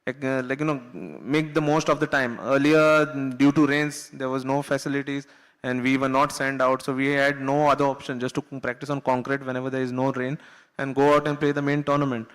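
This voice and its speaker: A man's voice: medium pitch, flat delivery, fairly quick, fairly bright tone, clean tone. A man with an Indian English accent, a former cricketer from Goa, recalling his career on a panel in an earnest, chatty way. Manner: earnest, uses filler "you know", laughs while telling stories. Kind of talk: panel discussion anecdote. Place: conference hall.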